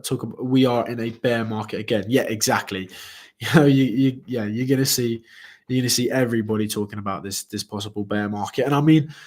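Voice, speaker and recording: Young man, trading stream host, rambling, lots of ums and restarts, mid-pitched voice. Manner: rambling, many fillers and repeated restarts; speaks to chat viewers directly. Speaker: crypto trading livestream host. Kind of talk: casual live stream commentary.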